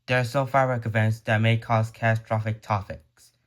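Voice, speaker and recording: A man's voice: fairly low pitch, little pitch movement, a little fast. A man reading scripted emergency alert narration in a formal, solemn announcer manner.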